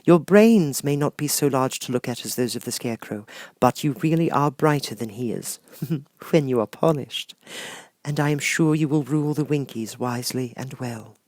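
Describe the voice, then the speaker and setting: Man voicing gentle, high-pitched good witch Glinda. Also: no room sound, natural voice. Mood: kindly, assured. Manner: gentle, gracious, measured. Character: kindly, beautiful young good witch Glinda.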